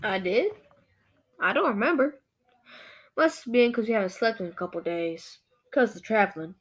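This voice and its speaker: A woman's voice: mid-range voice, expressive, moderate pace, lightly acted. A teenage girl acting a bossy, menacing male gang boss in a student radio play. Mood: sly, casual. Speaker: student actor.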